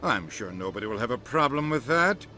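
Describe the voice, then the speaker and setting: An actor playing an irritable ambassador in a science fiction game, scolding with heavy sarcasm. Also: male voice, medium pitch, very animated, brisk, pushed and bright, faint music behind. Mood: sarcastic.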